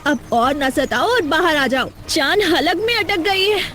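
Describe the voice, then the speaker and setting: A woman's voice: high voice, expressive, unhurried, pushed and bright, a little hiss or hum, exaggerated voice. A woman voicing an anxious, determined young village woman in a cartoon, earnest and dramatic. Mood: panicked pleading.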